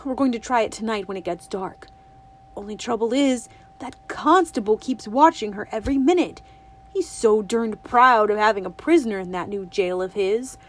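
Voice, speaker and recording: A woman's voice: moderate pace, lightly acted. A woman doing an eager country boy. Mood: urgent. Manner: rustic drawl, urgent low talk. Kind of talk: audiobook reading.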